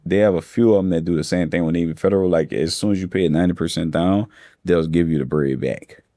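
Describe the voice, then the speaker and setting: A man in his thirties, a funding expert talking casually in a podcast interview, slangy and relaxed. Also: low-pitched, fast talker, dry recording. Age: 30s. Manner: laid-back slangy talk, calls listeners bro.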